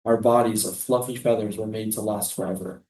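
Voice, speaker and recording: A man's voice: lowish voice, steady tone, a little fast, darkish tone, lightly acted. A man acting a dreamy, defiant prisoner, roomy echo. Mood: intimate, dreamy. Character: Palestinian male prisoner, dreamy, tender, defiant refugee. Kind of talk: staged play reading, poetic monologue. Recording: roomy, echoing live stage reading.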